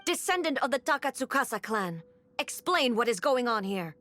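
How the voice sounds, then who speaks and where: A woman's voice: fairly high pitch, big pitch swings, moderate pace, pushed and bright. A woman voicing a stern, imperious female ruler in a video game, speaking in a cold, clipped, authoritative way. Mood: cold, commanding.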